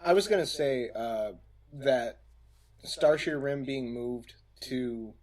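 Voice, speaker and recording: A young man talking casually as a podcast panelist, reflective and halting, repeating phrases while he builds a point. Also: medium pitch, a little mellow.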